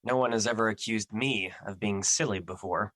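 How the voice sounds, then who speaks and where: A man acts out a brooding male spirit of fear in an audio drama. His voice sits fairly low with a forceful edge, and his wording is solemn and formal, heavy with self-doubt. The sound is dry and close. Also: moderate pace, dry recording, lightly acted. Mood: gloomy, doubting. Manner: formal, solemn, theatrical phrasing.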